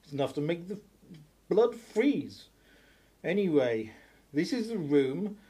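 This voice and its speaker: An actor playing a nervous, reluctant gentleman in an audio drama. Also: fairly high pitch, sing-song, slow, slightly rough, exaggerated voice. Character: nervous, reluctant gentleman, anxious about authority.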